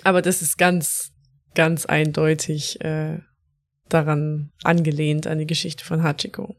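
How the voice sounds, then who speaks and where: A woman's voice: low voice, little pitch movement, unhurried. A woman co-hosting a casual podcast, telling stories in a chatty way and laughing often. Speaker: podcast co-host.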